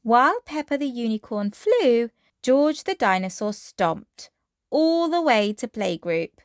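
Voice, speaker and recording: High, expressive woman storyteller. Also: slow pace, dry recording, studio quality. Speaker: storytime narrator. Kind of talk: scripted children's bedtime story narration.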